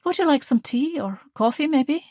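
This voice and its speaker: A woman reading the part of a calm, loving mother, speaking softly and tenderly. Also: sits high, moderate pace, dry recording, studio quality, voice pushed up. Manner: soft, tender, reassuring.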